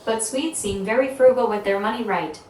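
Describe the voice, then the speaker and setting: A woman's voice: on the high side, normal speed, a little mellow. A synthetic woman's voice reading short chat messages aloud in a noisy room. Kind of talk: short viewer messages read aloud by text-to-speech. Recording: echoey, played into a noisy room.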